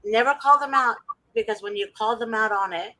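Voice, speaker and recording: Passionate, bright-voiced woman host. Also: on the high side, brisk, no echo, low-quality recording. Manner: passionate, preachy, quotes scripture. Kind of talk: casual advocacy talk show.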